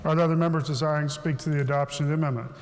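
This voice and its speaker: A man presiding over a legislative chamber, calling votes and recognizing members in brisk, formulaic procedure. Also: fairly high pitch, fairly even intonation. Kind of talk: formal parliamentary procedure. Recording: long hall reverb, faint ambience.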